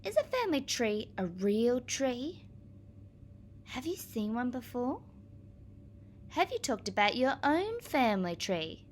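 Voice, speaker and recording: A woman teacher reading a picture book aloud to young children, expressively, pausing to explain and ask guiding questions. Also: a little high, slight background noise. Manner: expressive, instructive, addressing young children directly.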